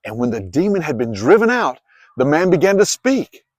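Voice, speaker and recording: A man narrating scripture as a dramatized story, with expressive, clearly phrased delivery. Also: a little high, moderate pace, dry recording, studio quality. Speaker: Bible narrator.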